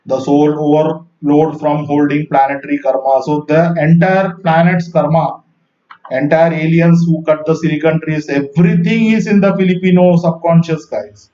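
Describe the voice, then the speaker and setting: Indian-accented male host, fairly high voice, rambling sincere monologue, roomy room, set back from the mic, slightly muffled. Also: fairly even intonation, moderate pace, mellow, distant mic. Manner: rambling and earnest, with little laughs and repeated "guys". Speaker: spiritual video host. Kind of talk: casual monologue to camera.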